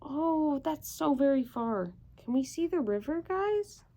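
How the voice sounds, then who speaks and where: A woman's voice: high-pitched, animated, unhurried, mellow. A woman reading a picture book aloud to children, full of gushing wonder and talking directly to her young listeners.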